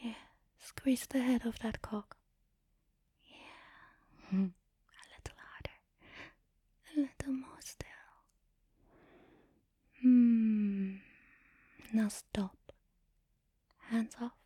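A woman speaking in an intimate, commanding and teasing erotic ASMR style, in a breathy whisper close to the mic. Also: on the high side, extremely slow, long pauses, mellow, no room sound. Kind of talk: erotic ASMR, guided audio roleplay.

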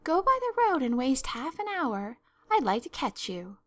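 A woman voicing a stern, sensible older woman in a storybook, brisk, sarcastic and scolding. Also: medium pitch, expressive. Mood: brisk, impatient. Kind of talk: storybook narration.

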